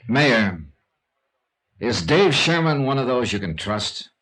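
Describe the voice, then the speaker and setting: A man's voice: mid-range voice, very animated, slow, a bit bright. An actor playing a shrewd, sardonic male investigator in a 1950s radio detective drama, with clipped, probing delivery. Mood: probing, skeptical. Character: shrewd, wry male insurance investigator, hard-boiled sleuth. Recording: vintage radio drama recording.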